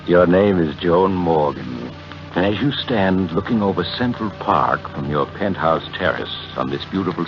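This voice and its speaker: Deep-voiced sinister radio mystery narrator. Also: male voice, heavily acted. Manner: ominous, deliberate storytelling addressed to the listener. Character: sinister, suave male narrator-host of eerie radio tales. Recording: muffled old radio recording, loud music behind.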